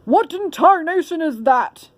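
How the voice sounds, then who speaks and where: A woman's voice: extremely high pitch, expressive, unhurried, dry recording, voice pushed up. A woman voicing a grumpy, baffled, hungry husband who blusters in a children's storybook. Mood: outraged. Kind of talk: children's storybook character reading.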